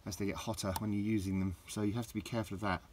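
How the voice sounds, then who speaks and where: A middle-aged man with a low voice, rambling through a casual hands-on garden demonstration with lots of restarts. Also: flat delivery, racing, darkish tone, a little hiss or hum. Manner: rambling, with many restarts and fillers. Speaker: DIY vlogger. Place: outdoors in a garden.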